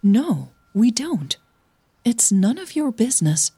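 A woman's voice: on the high side, sing-song, slow pace, dark tone, slightly rough, no room sound, voice pushed up, heavily acted. A woman voicing a frustrated, defensive younger woman who answers in a curt, defiant way in an audio drama.

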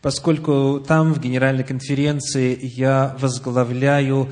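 A man with a medium-pitched voice works as a live interpreter at a church address. He delivers the message phrase by phrase, hesitating now and then as he finds the wording. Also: flat delivery, a little slow, a little mellow. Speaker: consecutive interpreter.

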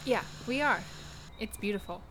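A woman's voice: medium pitch, animated, moderate pace, noisy background, natural voice. An actress playing a kind, slightly apologetic teenage girl in an audio drama. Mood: friendly.

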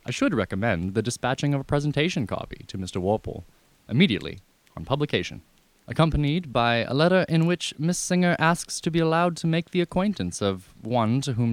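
A man portrays an unflappable, scheming valet in a radio comedy. He is deferential and formal, with measured, wordy phrasing at a middling pitch, recorded close and dry. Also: no room sound, lightly acted.

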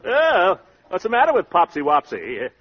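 An actor playing a brash, self-satisfied suitor with breezy slangy patter and mock-flowery flourishes, in old-time radio comedy style. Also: male voice, sits high, huge pitch swings, moderate pace, heavily acted. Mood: loud, teasing. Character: brash show-off young suitor, loud self-satisfied windbag. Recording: muffled old radio broadcast recording.

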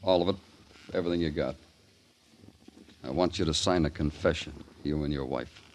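An actor playing a tough, unflinching police detective in an old-time radio drama, with a clipped, hard-boiled delivery. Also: male voice, extremely low pitch, moderate pace, a little mellow, a little hiss or hum. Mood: cool, stern.

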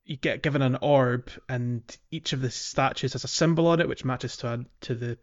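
A man's voice: medium pitch, normal speed. A man co-hosting a podcast, explaining in an agreeable, casual conversational way. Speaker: podcast co-host. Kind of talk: casual chat, explaining a game. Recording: dull lo-fi voice track.

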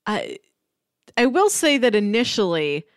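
A woman's voice: mid-range voice, very animated, measured pace, dry recording, studio quality. A woman co-hosting a podcast, chatting casually and tossing in joking asides. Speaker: podcast co-host.

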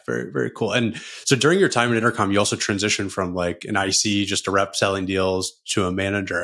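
A man's voice: low-pitched, vocal fry, fairly bright tone. A man hosting a podcast interview in a casual, chatty style.

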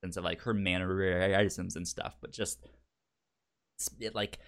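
A man co-hosting a podcast, chatting casually about a TV show, stammering and repeating sounds and words as he talks in an animated way. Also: low-pitched, measured pace. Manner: animated, acts out quotes. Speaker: podcast co-host.

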